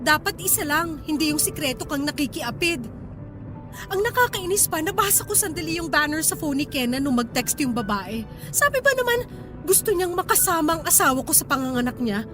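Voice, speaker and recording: A woman's voice: high-pitched, very animated, a little fast. An actress playing a betrayed wife and mother in a Filipino radio drama, plaintive, exasperated and feisty. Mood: hurt, tearful. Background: background music.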